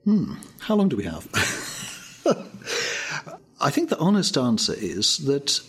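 An older man from Wales, answering interview questions in a measured, thoughtful way. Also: mid-range voice, huge pitch swings, a bit bright, slightly rough. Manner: measured, thoughtful, with pauses and fillers.

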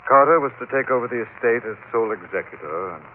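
An actor playing a composed sleuth, explaining things in a measured 1940s radio drama manner. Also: male voice, mid-range voice, lively intonation, close to an everyday voice. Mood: reflective. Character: composed male sleuth explaining a solved crime. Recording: narrow-band old radio recording, faint noise.

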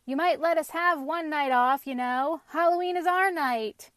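A woman's voice: medium pitch, fairly even intonation, a little slow, dry recording, clean studio sound, lightly acted. Woman voicing a jolly, cheeky runaway storybook pumpkin. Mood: persuasive. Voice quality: thick, throaty. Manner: airy, teasing, singsong.

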